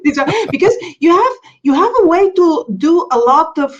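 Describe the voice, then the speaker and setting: High-voiced woman. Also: expressive, moderate pace. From Bulgaria. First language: Bulgarian. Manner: eager, talks in long run-on explanations. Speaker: podcast guest, explorer. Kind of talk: casual interview chat. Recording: dull lo-fi sound.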